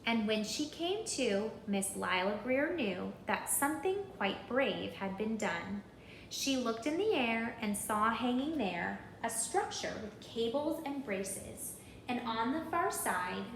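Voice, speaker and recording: Slow, lively woman reading a storybook. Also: a little high, echoey room, a little hiss or hum, rough recording. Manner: expressive, deliberate, rhythmic. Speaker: storybook reader. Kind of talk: children's read-aloud with questions to listeners.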